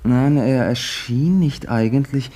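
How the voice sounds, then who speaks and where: An actor playing an earnest, devout young pilgrim who pleads and insists, in a 1950s radio play. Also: male voice, medium pitch, slow, dark tone. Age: young adult.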